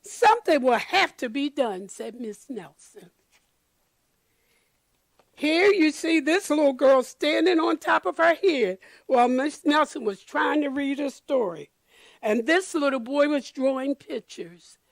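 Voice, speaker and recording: A woman reading a picture book aloud to children, deliberate and expressive, pausing to comment to her listeners. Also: sits high, creaky, no echo. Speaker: storyteller for children.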